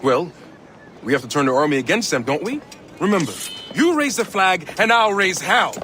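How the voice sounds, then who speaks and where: An actor playing a sly, theatrical accomplice who relishes mayhem, in a video game. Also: male voice, high-pitched, very animated, moderate pace, sharp tone, faint music behind, exaggerated voice. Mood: gleeful. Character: roguish, scheming male accomplice, gleeful for mayhem. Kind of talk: video game cutscene acting.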